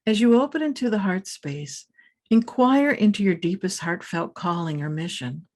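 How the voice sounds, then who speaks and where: A woman meditation teacher leading a scripted guided meditation in a calm, soothing, measured manner with pauses between phrases. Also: medium pitch.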